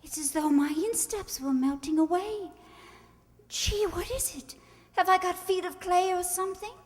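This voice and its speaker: A woman playing a flighty, high-strung society bride in a screwball radio comedy, theatrical and full of breathless exclamation. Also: moderate pace, a little mellow. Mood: dreamy, giddy. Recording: echoey hall stage recording.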